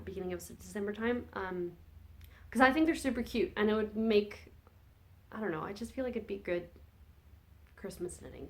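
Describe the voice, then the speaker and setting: Chatty woman, phlegmy from a cold. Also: mid-range voice. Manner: rambling, chatty, self-correcting. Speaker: podcast host. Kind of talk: casual chat about knitting projects.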